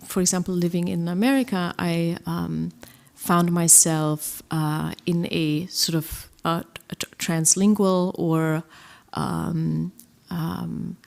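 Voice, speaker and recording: Woman musing haltingly on a panel. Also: lowish voice, fairly even intonation, gravelly, a little mellow. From Germany. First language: German. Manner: thoughtful, halting, many fillers and restarts. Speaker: poet and translator on a panel. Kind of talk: live literary conversation.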